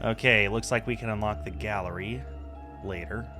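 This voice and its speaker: A man's voice: lowish voice, normal speed, bright, forceful tone. A man in his thirties giving casual game stream commentary and reading on-screen text aloud. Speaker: streamer, host. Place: indoor room at a streaming setup. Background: music.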